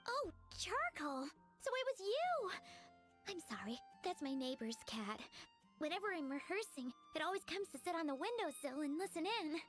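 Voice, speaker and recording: A shy, earnest young girl bard in a video game. Her voice sits extremely high, with soft music behind it. She comes across as nervous and sincere. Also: sing-song, normal speed. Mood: flustered, apologetic.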